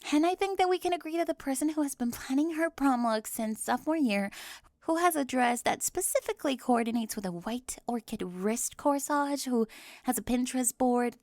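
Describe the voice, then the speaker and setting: Woman playing a prim, high-voiced controlling teen girl. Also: expressive, normal speed, darkish tone, dry recording. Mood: officious, insistent. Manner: fussy, officious, over-precise, flustered. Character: prim, controlling, hyper-organized popular teen girl planning prom. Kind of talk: scripted ASMR audio roleplay.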